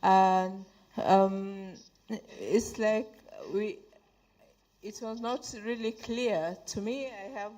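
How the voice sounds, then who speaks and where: Woman panelist, unsure, stopping and restarting, slow pace. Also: medium pitch, steady tone, warm tone, poor audio. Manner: hesitant, halting, many fillers. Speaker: panel speaker. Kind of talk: unscripted panel remarks.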